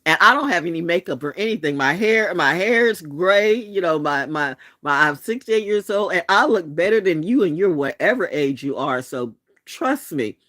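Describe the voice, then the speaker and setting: Blunt, lively-voiced woman in her sixties. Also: lowish voice, normal speed, no room sound, clean studio sound. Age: 60s. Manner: blunt and profane, with laughs and restarts. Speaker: channel host. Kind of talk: late-night vlog monologue.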